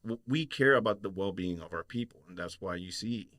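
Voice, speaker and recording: A middle-aged man from Puerto Rico co-hosting a podcast, speaking in an earnest, measured way. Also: low-pitched, fairly bright tone, no room sound, studio quality. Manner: earnest, policy-minded, measured. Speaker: podcast co-host. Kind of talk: casual podcast discussion.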